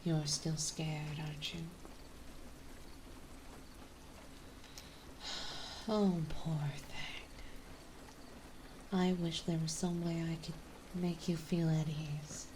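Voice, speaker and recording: A woman playing a gentle yet possessive, dominant vampire mistress in an ASMR roleplay, speaking softly and soothingly, often in a breathy whisper. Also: low-pitched, steady tone, background noise. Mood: protective, possessive. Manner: soothing, coaxing, slow and deliberate.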